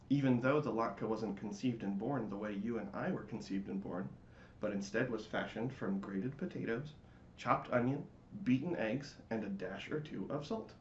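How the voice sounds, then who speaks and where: A man giving a theatrical, expressive dramatic reading of a storybook. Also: mid-range voice, moderate pace. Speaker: storybook reader. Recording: roomy, dull, faintly noisy home recording.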